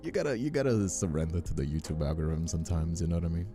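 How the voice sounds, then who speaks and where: A man whose first language is Spanish chats casually as a voice actor and creator, halting and often repeating himself. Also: low voice, sing-song, dark tone. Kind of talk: casual chat. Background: music.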